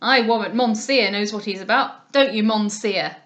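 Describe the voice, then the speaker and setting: A woman voicing a rough, bullying middle-aged English sea captain who talks loud and blustering. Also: medium pitch, normal speed, natural voice.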